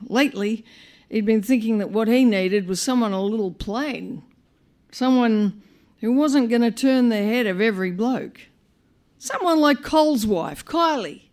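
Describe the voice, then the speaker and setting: A woman reading a short story live to an audience, with wry comic narration, dramatic emphasis and deliberate pauses. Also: medium pitch. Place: live on stage at a performance venue.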